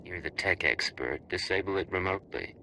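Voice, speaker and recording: A man's voice: low voice, a little fast, bright, forceful tone, slight background noise, heavily acted. A man playing a hard, commanding covert agent in a game cutscene, curt and authoritative.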